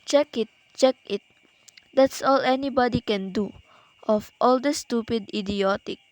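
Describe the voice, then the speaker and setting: Girl acting as a hysterical bossy invalid wife, slow dramatic radio play delivery, higher voice, dry sound. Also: no room sound, natural voice, low-quality recording. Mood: indignant, impatient. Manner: dramatic, fretful, indignant. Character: anxious, demanding, bedridden invalid wife, hysterical and imperious.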